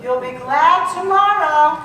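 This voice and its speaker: A woman's voice: very high-pitched, lively intonation, takes it slowly, a bit bright, heavily acted. A woman playing an advertiser in an old-time radio commercial. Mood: perky. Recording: echoey hall from far off, noisy.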